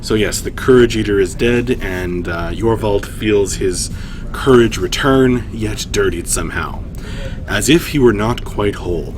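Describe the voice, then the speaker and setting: A man's voice: fairly low pitch, measured pace, background noise. A man hosting a live story reading, narrating and chatting casually with hesitant, self-deprecating asides. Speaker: storytime host and reader.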